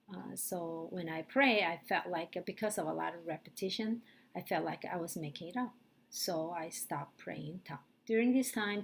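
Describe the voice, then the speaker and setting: Gravelly middle-aged woman. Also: fairly low pitch, slight background noise. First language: Korean. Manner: hesitant, earnest, many fillers. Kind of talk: personal testimony.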